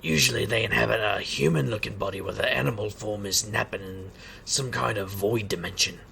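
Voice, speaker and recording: An actor playing a wisecracking private eye and street magician, narrating an audio drama in a sardonic, gravelly way. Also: male voice, lowish voice, a little fast, bright, forceful tone, full character voice. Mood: wry. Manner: sardonic, wry. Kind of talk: noir-style first-person narration and dialogue in audio drama.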